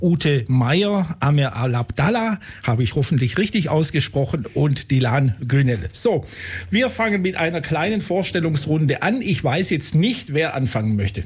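Radio host, man, mid pitch, husky, easygoing, loosely phrased questions. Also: normal speed. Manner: relaxed, loosely worded questions. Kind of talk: radio interview.

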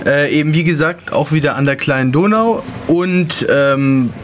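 A man hosting a casual interview, relaxed and using fillers. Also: on the high side, slight background noise. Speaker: interviewer, host.

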